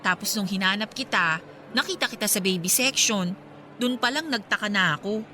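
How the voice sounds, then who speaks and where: A woman acting in a Filipino radio drama as a devout, hopeful friend, earnest and expressive. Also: medium pitch, moderate pace, a little hiss or hum, close to an everyday voice. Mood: playful, teasing.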